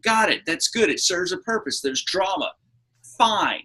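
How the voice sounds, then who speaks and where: A man's voice: high voice, animated, unhurried, pushed and bright, slightly rough. A man hosting a casual pop culture podcast, speaking in an emphatic, opinionated way and swearing freely. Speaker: podcast host.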